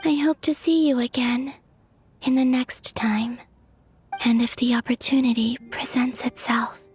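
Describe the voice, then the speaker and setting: An actress plays a gentle young moon maiden in a game cutscene. Her voice is fairly high, soft and breathy, and she speaks very slowly with a dreamy melancholy. Quiet music sits behind her. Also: flat delivery, natural voice. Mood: tender, sorrowful. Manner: unhurried, wistful, hushed. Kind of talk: video game cutscene monologue.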